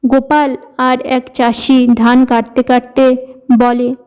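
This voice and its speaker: Female narrator for animated tale, high-pitched, warm dark tone, scripted delivery. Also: takes it slowly, smooth. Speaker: storyteller, narrator. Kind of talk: scripted cartoon story narration.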